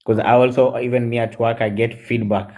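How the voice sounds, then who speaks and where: A man in his forties, chatting on a live stream as a guest, halting with many fillers and switching into Swahili. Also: mid-range voice, level tone, a little mellow. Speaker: guest mentor. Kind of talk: casual live-stream chat.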